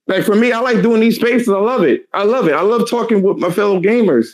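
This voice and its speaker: A man in his thirties talks in a heated, profane rant, his voice raspy and worn from lack of sleep. He pitches a bit higher than most men and keeps hammering his points with emphatic repetition. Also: brisk. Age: 30s. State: tired, hoarse voice. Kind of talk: heated casual chat.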